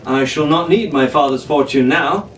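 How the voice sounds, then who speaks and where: A man's voice: on the high side, normal speed, natural voice. Man playing a proud young English nobleman. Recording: roomy, distant mic, faint background noise.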